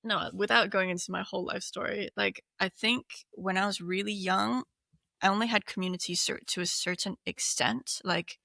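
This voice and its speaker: A woman in her thirties, from Hong Kong, talks casually on a podcast, leaning on fillers as she thinks aloud. Her voice has a gravelly edge but a bright, forward tone. The sound is dry and close. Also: medium pitch, fairly even intonation, moderate pace, dry recording. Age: 30s. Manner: chatty, many fillers, laughs often. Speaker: podcast co-host. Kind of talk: casual chat.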